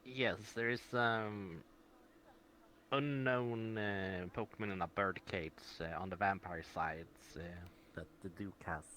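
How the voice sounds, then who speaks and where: A man with a fairly low, bright voice chatting through an online role-play. He banters hesitantly and swears freely, over light background noise. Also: steady tone, slow pace. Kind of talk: casual in-character role-play chat. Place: online VR voice chat.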